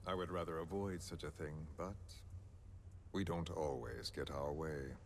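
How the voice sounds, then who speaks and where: An actor playing a menacing, philosophical villain in a video game, speaking deliberately and with theatrical condescension. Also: male voice, extremely low pitch, gravelly, a little hiss or hum, heavily acted. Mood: coldly threatening. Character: menacing, philosophical male villain coercing a captive. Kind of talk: dramatic video game voice acting.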